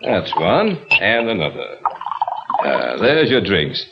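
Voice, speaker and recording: An actor playing a wealthy, lonely plantation master and possessive old suitor, courtly and theatrical, in a radio drama. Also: male voice, lowish voice, wildly swooping pitch, takes it slowly, heavy background noise, heavily acted. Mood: effusive welcome. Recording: dull lo-fi old radio recording.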